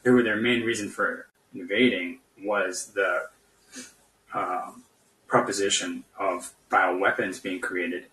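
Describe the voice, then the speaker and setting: In an echoey room, somewhat far from the mic, a man argues politics casually. His voice is lowish but bright and forceful, and he talks in a halting, skeptical way. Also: steady tone. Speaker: podcast guest caller.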